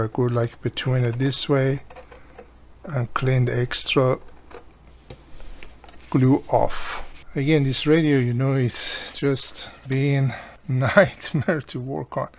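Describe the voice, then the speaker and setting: A man's voice: medium pitch, slight background noise. A man with a non-native English accent giving a casual hands-on repair tutorial, explaining each step plainly as he works. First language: not English. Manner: plain-spoken, explains step by step while working. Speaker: radio repairer demonstrating a restoration.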